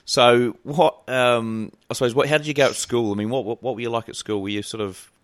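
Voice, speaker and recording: A man's voice: mid-range voice, steady tone, fairly quick, no echo. A man hosting a podcast, chatting loosely with plenty of ums and restarts. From New Zealand. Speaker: podcast host. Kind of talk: casual interview chat.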